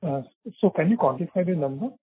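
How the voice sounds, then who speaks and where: A man with an Indian accent and a husky voice, a financial analyst asking questions on an earnings call. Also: fairly high pitch, lively intonation, quick pace, dry recording. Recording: dry, narrow phone line.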